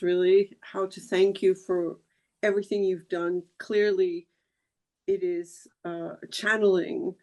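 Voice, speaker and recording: A woman from Argentina on a video call, speaking earnestly and haltingly with many pauses and fillers. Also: fairly low pitch, a little mellow. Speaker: audience member on a video call. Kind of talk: casual chat.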